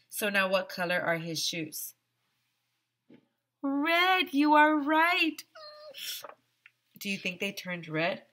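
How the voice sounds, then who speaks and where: A woman in her thirties, a teacher, reading a picture book aloud to young children in a theatrical, exaggerated, sing-song manner with drawn-out words. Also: medium pitch, bright, forceful tone. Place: small decorated room, indoors. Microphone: headset.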